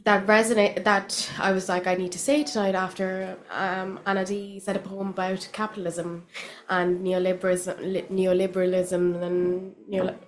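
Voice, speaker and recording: A woman's voice: mid-range voice, level tone, moderate pace. A woman with a Cork accent speaks from the stage at her first open mic. She talks in a casual, chatty way, nervous and full of fillers and restarts, in a mid-range voice.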